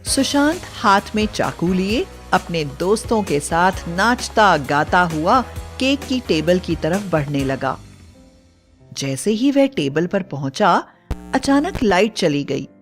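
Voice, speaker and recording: A woman's voice: on the low side, sing-song, takes it slowly. A woman narrating a scripted bedtime story as a storyteller. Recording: faint music bed. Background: soft background music.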